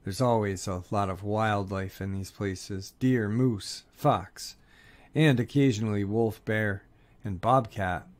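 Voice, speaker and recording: A man with a fairly low voice narrates other people's strange encounters in the woods. He reads them as first-person accounts, keeping a steady, measured storytelling tone throughout. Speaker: narrator reading listener encounter stories.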